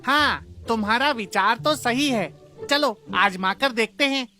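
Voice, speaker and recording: Woman playing an eager, high-voiced young boy. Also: a little slow, bright, forceful tone, soft background music. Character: agreeable young boy, eager magical friend.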